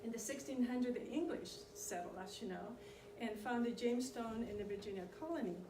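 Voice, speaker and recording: A woman's voice: a little high, a little fast. Woman lecturing in an echoey room. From Chile. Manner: conversational, often checks in with the audience. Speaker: community presenter on immigration history. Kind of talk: informal lecture to an audience. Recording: echoey room, distant mic, background noise.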